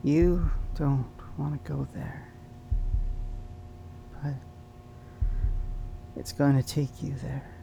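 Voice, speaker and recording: A man's voice: fairly even intonation, creaky, mellow, exaggerated voice. A man playing an eerie, possessed young male in an audio horror drama, muttering ominously and repeating himself as if in a trance. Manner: slow, repetitive, trance-like. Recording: dull, noisy recording with low hum.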